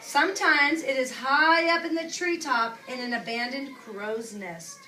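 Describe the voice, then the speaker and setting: High-voiced woman teacher in an echoey room. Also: measured pace, bright, forceful tone. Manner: simple, instructive phrasing, often repeating key words. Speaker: preschool teacher. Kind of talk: read-aloud to young children with questions and asides. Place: indoor classroom with young children. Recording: echoey room, distant mic, noisy background.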